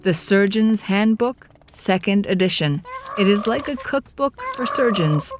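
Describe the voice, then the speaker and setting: A woman's voice: medium pitch, unhurried, over music, natural voice. A woman playing a wry, theatrical storyteller, narrating a scripted audio drama in a confiding, grandiose manner. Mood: wry, dramatic.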